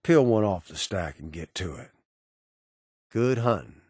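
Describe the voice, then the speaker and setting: Cynical undead gunslinger, low voice, Southern American drawl, sardonic, menacing, dry close recording, video game dialogue. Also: male voice, big pitch swings, measured pace, darkish tone, breathy edge, dry recording, heavily acted. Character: cynical menacing undead gunslinger bounty hunter, male ghoul.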